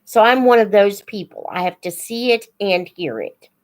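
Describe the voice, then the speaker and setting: A woman hosting a casual live chat, unhurried and thinking aloud as she talks to her viewers. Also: mid-range voice. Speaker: host of a live book Q&A, teacher-like reader.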